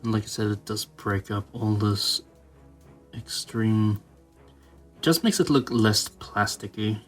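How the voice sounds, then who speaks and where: A man's voice: on the low side, slow pace, soft background music. A man giving a casual hobby tutorial, thinking aloud informally as he works. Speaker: toy customizer presenting own channel.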